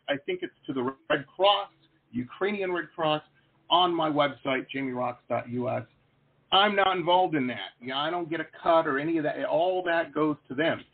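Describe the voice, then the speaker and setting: A middle-aged man hosting a casual interview, rambling and animated, with lots of fillers. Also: fairly high pitch, slightly rough. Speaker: host. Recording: phone-like lo-fi line.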